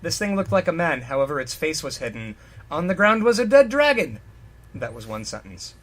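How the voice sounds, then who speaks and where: A man's voice: a little high, sing-song, fairly quick, a bit bright. A man doing an informal, joking dramatic reading of fan fiction, stumbling over sentences and ad-libbing asides. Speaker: reader on a comedy reading show.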